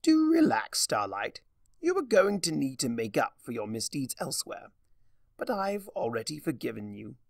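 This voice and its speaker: A man plays a theatrical villain of chaos from a fan fiction story. The voice swoops widely and creaks at the edges, drawling with smug, teasing mischief. The recording is close and dry. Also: medium pitch, wildly swooping pitch, moderate pace, no echo, far from the mic, heavily acted. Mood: reassuring. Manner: drawling, grandly teasing, self-amused. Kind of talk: dramatic fan fiction reading.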